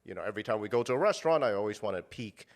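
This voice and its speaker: A man with a lowish voice chats as a guest. He rambles and restarts, with plenty of fillers. He curses bluntly. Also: expressive, racing. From United States. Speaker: guest, meat purveyor. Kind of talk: casual interview talk.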